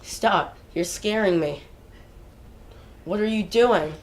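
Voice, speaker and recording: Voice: medium pitch, slow. A performer in a dramatic reading playing a concerned, loyal companion with an earnest, plain delivery. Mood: worried. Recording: noisy room ambience.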